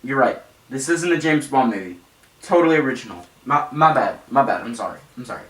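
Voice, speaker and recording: A man's voice: medium pitch, expressive, fairly quick, fairly bright tone, husky, dry recording, low-quality recording. A man narrating an opinionated comedic review in a sarcastic, ranting, sweary manner. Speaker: video reviewer and host. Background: background music.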